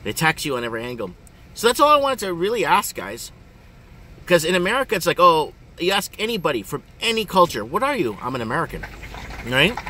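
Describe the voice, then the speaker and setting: A middle-aged man, rambling casually to viewers in an animated way and repeating his questions emphatically. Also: on the high side, quick pace. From Ontario, Canada. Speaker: vlogger. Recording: engine and road noise in car.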